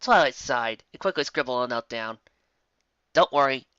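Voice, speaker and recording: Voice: mid-range voice, animated, fairly quick, sharp tone, smooth, no room sound. A narrator reading scripted fan fiction in a dramatic, emphatic storytelling manner, on a slightly dull recording.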